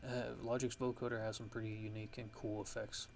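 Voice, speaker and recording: A man giving a casual software tutorial, haltingly thinking aloud as he goes. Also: fairly low pitch, little pitch movement. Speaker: music production tutorial host. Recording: clear background noise.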